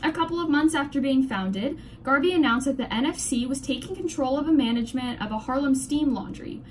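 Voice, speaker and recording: A woman's voice: high-pitched, animated, fairly quick, a little hiss or hum. A young woman reading a scripted news commentary aloud with scornful opinions. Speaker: presenter of a student-made 1920s-style news report.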